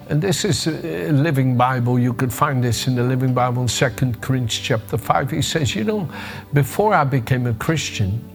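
Dutch-accented older man preaching. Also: medium pitch. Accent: Dutch with a bit of American. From Netherlands. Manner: conversational, searching for words, frequent restarts. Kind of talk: devotional sermon.

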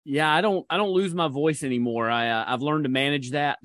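A man's voice: a little high, a little fast, fairly bright tone, no room sound. Man, podcast co-host, rambling delivery full of fillers, dry close sound. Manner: rambling, many fillers and restarts. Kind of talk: casual podcast chat.

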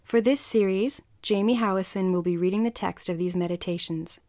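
A woman's voice: medium pitch, fairly quick, dark tone. A woman presenting a podcast, speaking in the style of a scripted introduction. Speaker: podcast host.

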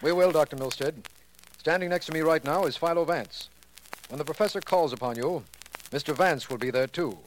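An actor playing a courteous, businesslike district attorney in formal 1940s radio style. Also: male voice, fairly high pitch, a little fast, noisy background, natural voice. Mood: courteous, reassuring. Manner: formal, crisp narration and dialogue.

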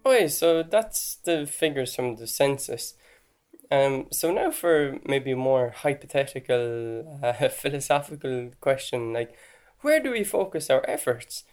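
A man's voice: mid-range voice, animated, unhurried, a little mellow, faint music behind. A man with an Irish accent hosting a podcast, explaining things in a conversational way with casual asides. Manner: conversational, chatty asides with "like" and "right?". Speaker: podcast host.

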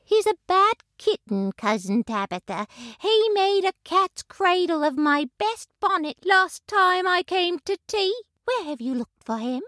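A woman voicing a brisk, matter-of-fact neighbor cat. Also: extremely high pitch, big pitch swings, a bit bright, no room sound, studio quality, voice pushed up, exaggerated voice. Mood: disapproving.

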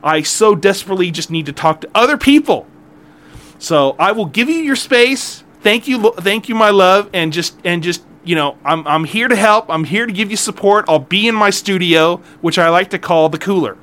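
A man's voice: sits high, expressive, normal speed, fairly bright tone. A middle-aged man hosting a golf podcast alone, chatting casually in a rambling, jokey, self-deprecating way. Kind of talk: casual solo podcast chat.

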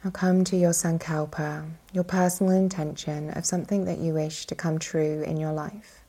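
A woman leading a guided yoga nidra meditation as instructor, calm and soothing, with a soft, breathy voice and long pauses. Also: sits low.

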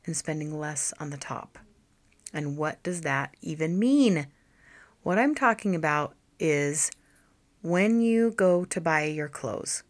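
A woman hosting her own style-coaching podcast, her voice hoarse and deep from allergies, chatting and encouraging listeners directly. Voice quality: deep, low voice. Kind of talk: solo podcast talk.

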